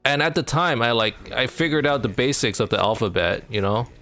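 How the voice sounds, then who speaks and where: A young man from Canada, streaming a game from home and chatting casually with his viewers in a rambling, filler-heavy way, his pitch jumping up when he gets animated. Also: a little fast, faint music behind. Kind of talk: casual chat with live chat while gaming.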